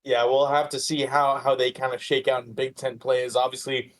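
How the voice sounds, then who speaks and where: A man's voice: medium pitch, little pitch movement, brisk. A man co-hosting a sports podcast, giving casual, conversational analysis and repeating phrases as he thinks.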